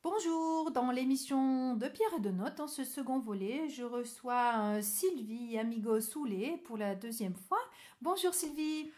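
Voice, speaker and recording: A woman's voice: high-pitched, expressive, a little slow, a little mellow. A woman hosting a radio interview, introducing her guest and asking questions. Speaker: radio show host.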